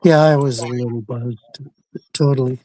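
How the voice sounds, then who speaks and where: A man from Canada chatting casually as a livestream co-host, halting and repeating his words. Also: medium pitch, steady tone, mellow, no echo. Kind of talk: casual chat.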